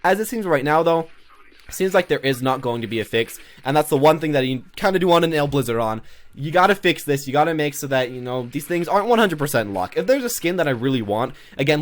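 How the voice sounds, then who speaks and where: A man giving casual, unscripted gaming commentary as a video host, rambling and often repeating himself. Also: mid-range voice. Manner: rambling, repeats himself, peppers talk with "you know".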